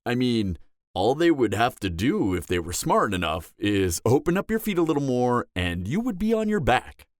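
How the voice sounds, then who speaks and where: A man's voice: mid-range voice, very animated, normal speed, dry recording, clean studio sound, lightly acted. A man playing a cocky, flirtatious vampire mentor in an audio roleplay, lecturing in a teasing, sardonic way. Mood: teasing, critical. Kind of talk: dramatic audio roleplay acting.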